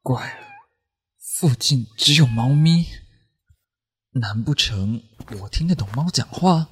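A man's voice: mid-range voice, expressive, slow, a little hiss or hum. A man voicing a grumpy young man turned into a cat, indignant and bewildered. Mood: puzzled.

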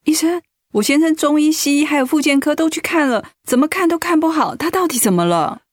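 Radio drama wife female actor: anxious, confused, high pitched, dry recording. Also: fairly quick, dry recording, natural voice. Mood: anxious, pleading. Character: anxious, bewildered wife of a gravely ill man.

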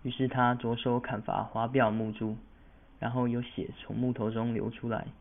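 A man's voice: mid-range voice, little pitch movement, normal speed, vocal fry. Teenage male narrator, phone-like sound. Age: teens. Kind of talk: scripted storytelling narration for student radio drama.

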